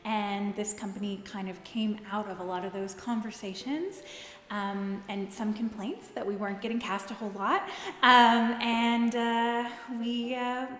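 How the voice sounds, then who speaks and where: A woman's voice: medium pitch, fairly even intonation, measured pace, a bit bright. A woman talking casually in an interview as a theatre performer. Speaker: theatre company founder and performer. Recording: echoey, reverberant hall.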